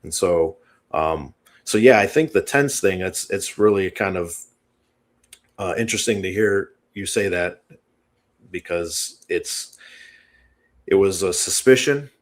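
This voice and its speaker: A man's voice: deep voice, animated, unhurried, long pauses. A man guest on an interview show, explaining a written analysis in a tentative, thinking-aloud way.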